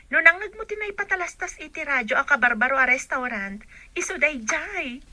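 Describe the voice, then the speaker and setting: A woman's voice: sits high, animated, a little fast, pushed and bright, dry recording, exaggerated voice. An actress playing an emotional, longing mother in an Ilocano radio drama. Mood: chatty.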